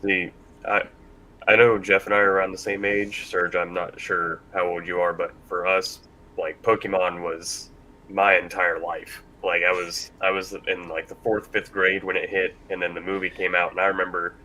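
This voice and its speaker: A man's voice: level tone, moderate pace, sharp tone, poor audio. A man in his thirties sharing memories in a casual chat as a panelist. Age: 30s. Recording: faint low rumble behind voice.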